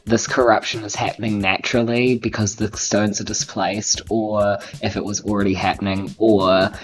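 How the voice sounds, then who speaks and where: A woman's voice: extremely low pitch, level tone, moderate pace, low-quality recording. A woman with a New Zealand accent playing a tabletop game, bantering casually and thinking aloud. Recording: music behind the voice. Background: background music.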